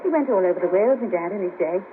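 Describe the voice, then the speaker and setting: A woman's voice: lowish voice, expressive, fast, slight background noise, natural voice. An actress playing a friendly, blunt, down-on-her-luck Merseyside sex worker in a 1970s radio play. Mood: chatty, friendly. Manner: bawdy, chatty, blunt. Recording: patchy narrow-band cassette of 1970s radio broadcast.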